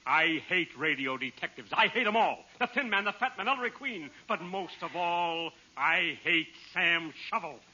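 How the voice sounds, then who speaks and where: A gruff gunman in an old radio comedy, pitched high and sharply bright, delivering his menace with mock-sinister relish. The sound is muffled and faintly hissy, like an old broadcast transcription. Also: expressive, normal speed, hardly any pauses, full character voice. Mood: menacing. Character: menacing male gunman, hater of radio detectives. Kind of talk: 1940s radio comedy acting.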